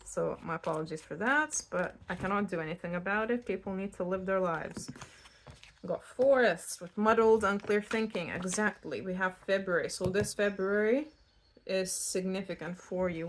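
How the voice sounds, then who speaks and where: A woman from Lithuania, giving an unscripted tarot reading in a blunt, conversational way, speaking straight to the listener. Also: mid-range voice. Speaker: tarot reader hosting her own channel. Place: indoors at home in a new-build house.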